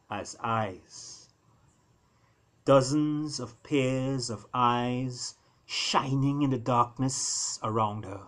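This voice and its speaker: Guy reading his novella out loud, slow n dramatic, big pauses, storyteller style. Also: mid-range voice. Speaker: author reading own novella. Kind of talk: storytelling, dramatic scripted narration.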